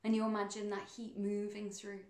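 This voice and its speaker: A woman guiding a meditation in a soothing, unhurried manner, with measured phrases and pauses between them. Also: medium pitch, completely flat delivery, a little mellow, reverberant. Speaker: meditation guide. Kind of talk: guided meditation narration.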